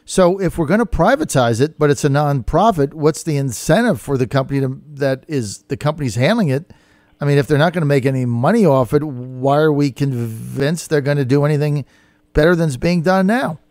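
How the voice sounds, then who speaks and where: A man's voice: a little high, expressive, brisk, a little mellow, no echo, studio quality. An American man hosting a radio interview, putting challenging questions in plain-spoken words. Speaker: radio talk show host. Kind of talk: probing live radio interview.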